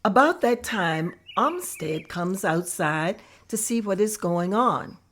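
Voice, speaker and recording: A woman narrating scene directions in a table read, plain and steady. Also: mid-range voice, slow pace.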